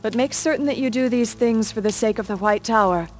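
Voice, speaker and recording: A woman playing a grave, formal mentor sorceress in a fantasy video game, speaking in a measured, solemn manner. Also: a little high, a little mellow, soft background music, close to an everyday voice. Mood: solemn advice. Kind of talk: dramatic fantasy game acting.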